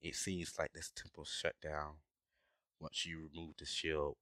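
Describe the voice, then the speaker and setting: A man voicing a gruff, protective dragon adventurer in an audio roleplay, talking urgently and with exasperation to his companion. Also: very deep voice, little pitch movement, a little slow, pushed and bright, no echo, studio quality, full character voice. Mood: concerned, protective. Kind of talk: scripted ASMR audio roleplay acting.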